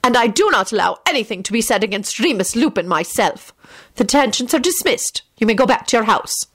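A woman's voice: fairly high pitch, animated, fairly quick, fairly bright tone, voice pushed up. A woman reading a story as a stern, icy elderly headmistress with a clipped, formal, severe manner. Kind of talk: audiobook character voice.

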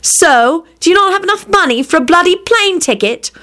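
A woman's voice: a little high, sing-song, moderate pace, a bit bright, voice pushed up. A woman voicing an exasperated, bossy young woman who scolds her twin brother in an emphatic, snapping way. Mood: exasperated, urging.

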